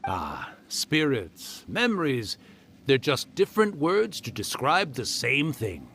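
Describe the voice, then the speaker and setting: A man's voice: medium pitch, huge pitch swings, slow, fairly bright tone, slight background noise, full character voice. A man voicing a mischievous old male fox spirit in a video game, theatrical and boastful. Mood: teasing. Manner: theatrical, hammy villain voice, then genial and teasing. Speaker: yokai spirit character.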